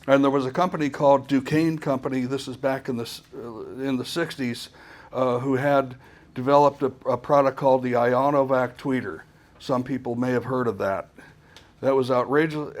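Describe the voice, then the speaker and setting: A man gives an informal technical presentation in a mid-pitched voice. His delivery is halting and hesitant, and he often repeats words before carrying on. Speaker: conference presenter.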